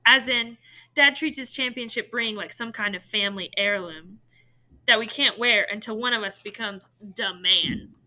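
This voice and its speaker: Woman, very bright voice, slightly high pitch, expressive storybook narration. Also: normal speed, poor audio. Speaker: teacher reading a novel aloud to students. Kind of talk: expressive audiobook-style reading of a verse novel.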